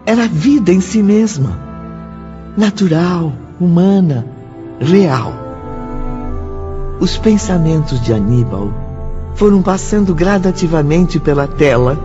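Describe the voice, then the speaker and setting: A man reading scripted radio drama narration in a solemn, dramatic and deliberate manner. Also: on the high side. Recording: dull lo-fi sound. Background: music.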